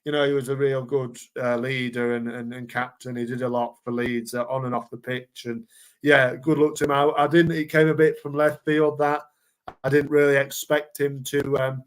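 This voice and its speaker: A man co-hosts a casual football chat show. He rambles as he goes and stumbles over repeated words and fillers. His voice sits at an ordinary middle pitch. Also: level tone, normal speed, clean tone. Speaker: podcast co-host.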